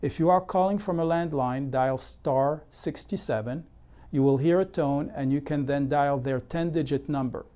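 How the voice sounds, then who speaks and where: Man, somewhat high pitch, mellow tone, scripted tutorial narration, measured, methodical, explanatory. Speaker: presenter of real estate consumer education.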